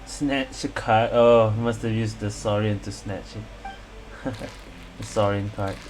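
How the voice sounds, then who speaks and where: Female gameplay streamer: very deep voice, slow casual reactions, noisy mic. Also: fairly even intonation, a little mellow. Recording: noisy mic with game audio.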